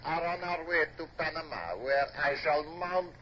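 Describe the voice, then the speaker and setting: An actor playing a half-mad, grandiose captive despot with pompous, deluded grandeur in a radio drama. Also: male voice, fairly high pitch, normal speed, crowd noise, lightly acted. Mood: grandiose madness. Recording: dull, hissy vintage radio recording.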